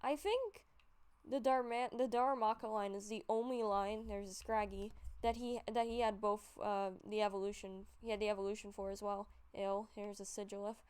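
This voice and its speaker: A woman's voice: mid-range voice, steady tone, moderate pace, a little mellow, clean tone. A woman with a stuffy nose giving rambling, casual commentary over gameplay, with frequent fillers and self-corrections. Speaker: YouTuber showing a game collection.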